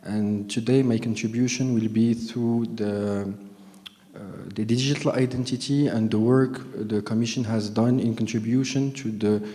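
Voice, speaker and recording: A man's voice: fairly low pitch, steady tone, normal speed, warm tone, big-room sound. A man introducing himself on a conference panel, hesitant and modest. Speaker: panelist, data protection official.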